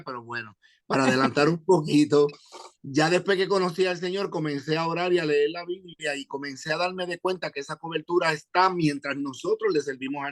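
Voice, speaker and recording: A man from Puerto Rico with a Puerto Rican accent, an evangelist giving his testimony in an interview, pausing often with "eh" and quoting scripture. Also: on the high side. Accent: Puerto Rican Spanish. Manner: hesitant, many "eh" fillers, quotes scripture. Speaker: evangelist guest.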